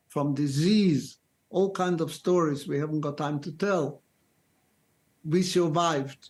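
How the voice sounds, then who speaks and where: Guest, very old man, slow, deliberate testimony with restarts. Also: on the high side, darkish tone. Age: 90s. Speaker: Holocaust survivor guest. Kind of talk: interview, personal testimony.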